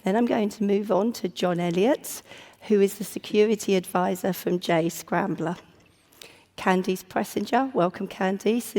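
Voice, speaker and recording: Croaky-voiced woman moderating a panel. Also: medium pitch, mellow. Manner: polite, clears throat often, with fillers. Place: conference stage before an audience. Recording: long hall reverb.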